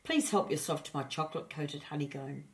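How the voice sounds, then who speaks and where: A woman voicing a gentle, lonely young male storybook dragon with an earnest, polite manner. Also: deep voice, a little fast.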